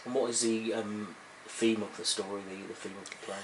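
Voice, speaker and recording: A man's voice: on the low side, flat delivery, fairly quick. A man interviewing someone, asking questions in a conversational way. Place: at the interviewee's home, indoors. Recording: roomy, noisy home interview recording.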